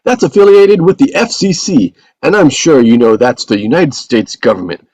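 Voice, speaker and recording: An actor reading a pushy, smooth-talking male TV reporter at a table read. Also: medium pitch, expressive, moderate pace, darkish tone, dry recording, lightly acted.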